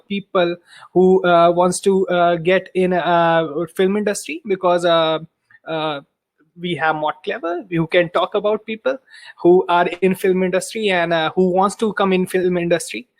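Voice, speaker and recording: A man hosts a podcast interview with a fairly high voice. He hesitates often and fills his pauses with "uh" as he shapes his questions. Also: steady tone. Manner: hesitant, many filler "uh"s. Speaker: podcast host. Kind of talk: interview hosting.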